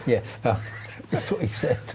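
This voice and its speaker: A man on a football podcast panel, talking rapidly and joking at his own expense. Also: noisy background. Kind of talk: casual football chat.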